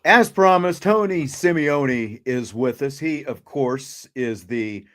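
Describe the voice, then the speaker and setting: A man's voice: medium pitch, slow, a bit bright. A man hosting a sports talk show, chatting casually as he introduces and interviews.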